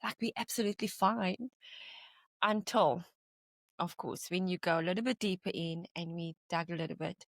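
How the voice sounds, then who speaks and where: A woman's voice: lowish voice, moderate pace, vocal fry, no echo. A middle-aged woman from South Africa, a guest telling her personal story with easy laughter and quoted asides.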